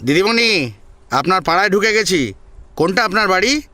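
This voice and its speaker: Man voicing a humble rickshaw driver, pitch lifted and earnest. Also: lively intonation, normal speed, bright, forceful tone, slight background noise. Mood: polite, insistent. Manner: deferential, earnest. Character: humble, reverent cycle-rickshaw driver addressing a young woman respectfully. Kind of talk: audio story acting.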